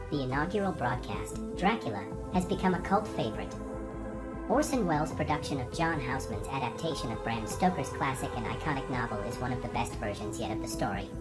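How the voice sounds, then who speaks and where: A woman's voice: rumbling low, very animated, fairly quick, loud music behind the voice. A woman hosting a programme of classic radio dramas, reading a scripted introduction. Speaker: host of a Halloween radio show marathon.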